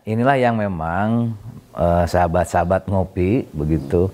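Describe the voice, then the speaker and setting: Man, deep voice, mellow tone, measured explaining, fillers and restarts. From Bandung, Indonesia. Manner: measured explaining, frequent fillers and self-corrections. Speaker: city council member, guest. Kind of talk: conversational interview.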